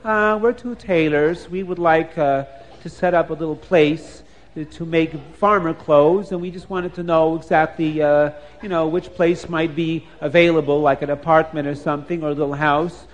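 A man's voice: fairly high pitch, fairly even intonation, normal speed, close to an everyday voice. A man playing a polite, eager travelling tailor, earnest and a bit hesitant, in a children's story. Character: polite, eager male travelling tailor, boastful about work.

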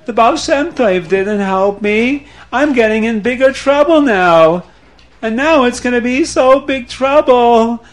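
A frightened estate manager, as a male storyteller puts him on, wailing and fretting in a high voice. The worry tumbles out of him. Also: unhurried. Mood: panicked. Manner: panicky, wailing, fretful.

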